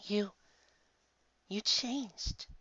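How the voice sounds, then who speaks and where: A narrator voicing a timid, awestruck little orphan girl in a storybook, hesitant and breathy. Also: medium pitch, drawn-out words, bright, forceful tone, dull audio, voice pushed up, exaggerated voice. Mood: astonished. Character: frail orphan little girl turned pony filly, awed. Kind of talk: acted storybook character voice.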